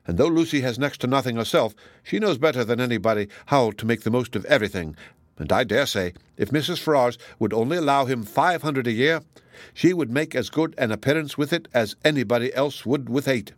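A man's voice: medium pitch, a little fast, no room sound, natural voice. A man voicing a chatty, good-hearted older matron in an audiobook, gossiping in a rambling, exclamatory and indignant way. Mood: indignant, eager. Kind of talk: audiobook character dialogue.

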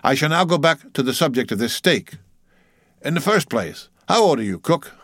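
Man playing a bossy mocking ship's officer, raised pitch. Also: big pitch swings, normal speed, fairly bright tone, no room sound, studio quality. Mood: commanding. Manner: teasing, mock-solemn, commanding.

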